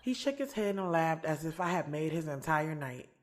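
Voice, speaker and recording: A woman's voice: sits low, expressive, normal speed. A woman playing a sassy, candid young woman in an audio drama, telling her story in a casual, slangy way, swearing freely. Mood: playful, assured. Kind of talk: audio drama first-person narration and dialogue. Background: music.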